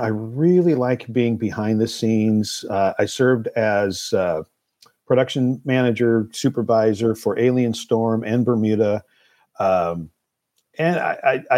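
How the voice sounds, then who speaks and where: A man guesting on a talk show as a film producer. He speaks casually at an average pitch, choosing his words in a halting, thoughtful manner. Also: darkish tone.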